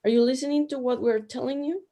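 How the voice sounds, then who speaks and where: A woman playing a serene otherworldly companion in a radio play, measured and solemn. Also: high voice, fairly even intonation, mellow.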